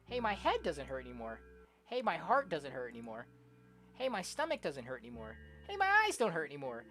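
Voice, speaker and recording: Expressive low-pitched woman reading game text. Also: fairly quick, a little hiss or hum. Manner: expressive, often giggly, slangy. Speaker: streamer playing a visual novel. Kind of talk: let's-play, reading game text aloud with casual reactions. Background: game music.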